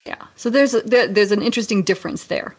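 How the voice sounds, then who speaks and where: A woman's voice: medium pitch, quick pace. A woman co-hosting a podcast, thinking aloud as she casually analyses and compares stories. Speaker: podcast co-host and author. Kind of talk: casual film discussion.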